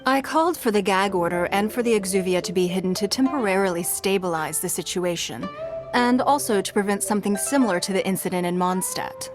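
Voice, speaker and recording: A woman voicing a poised, shrewd female ruler in a fantasy video game, speaking in a formal, composed and measured manner. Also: medium pitch, music in the background, close to an everyday voice. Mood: composed, resolute. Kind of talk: video game cutscene voice acting.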